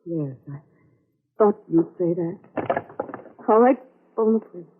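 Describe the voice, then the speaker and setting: An actress playing an earnest, anxious, self-reproaching young woman in 1940s radio drama, husky and hesitant. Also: fairly low pitch, expressive, a little slow, narrow, tinny audio, a little hiss or hum. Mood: resigned. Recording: band-limited old radio recording.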